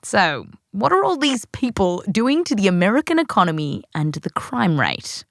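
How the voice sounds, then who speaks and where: A woman's voice: mid-range voice, very animated, normal speed, gravelly, dry recording. An Australian woman hosting a science podcast in a scripted narration with playful, jokey asides. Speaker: podcast host.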